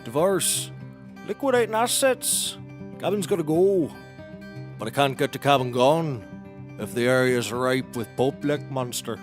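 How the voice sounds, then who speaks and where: A man's voice: mid-range voice, lively intonation, a little slow, over music, close to an everyday voice. An actor playing a folksy, rambling eccentric man in a comedy series, drawing out his words. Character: eccentric odd-jobbing man pitching a monster hunt. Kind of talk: comedic audio drama acting.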